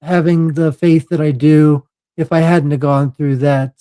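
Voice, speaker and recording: A man in his forties hosting a podcast, talking casually with lots of halting restarts. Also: fairly high pitch, fairly even intonation, warm tone, clear, resonant tone. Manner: halting, many fillers and restarts. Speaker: podcast host. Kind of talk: casual chat.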